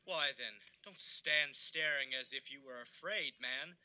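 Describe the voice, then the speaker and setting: A man's voice: mid-range voice, a little slow, sharp tone, clear, resonant tone, rough recording. An actor playing a callous, mocking Victorian townsman in an audio drama, offhand and sardonic. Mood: sly, brazen.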